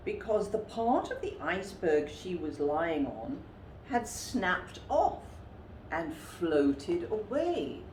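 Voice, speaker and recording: A woman reading a children's story aloud expressively, with theatrical, deliberate emphasis. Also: medium pitch, darkish tone. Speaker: storytime reader. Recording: roomy echo with faint background noise.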